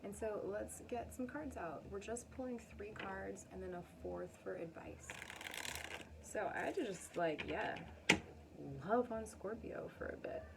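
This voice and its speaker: A woman's voice: medium pitch, unhurried, gravelly, heavy background noise. A woman hosting a casual, chatty card reading, speaking effusively and affirmingly with rambling asides. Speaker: astrologer and tarot reader, channel host.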